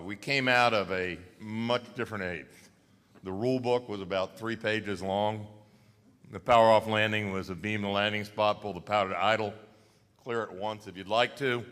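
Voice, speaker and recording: Older man with a bright voice, echoing hall. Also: fairly low pitch. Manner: folksy, reminiscing, emphatic. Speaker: banquet speaker presenting an award. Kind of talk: speech at a podium. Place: large banquet hall. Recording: reverberant banquet hall.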